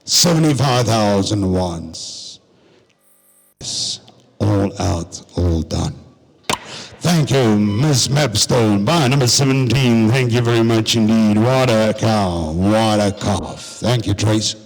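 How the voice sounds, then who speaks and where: A South African man working as a livestock auctioneer, describing cattle lots and then rattling off bids in a rapid chant. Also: fairly low pitch, vocal fry, big-room sound. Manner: rattles off bid numbers in a fast chant, switching between English and Afrikaans.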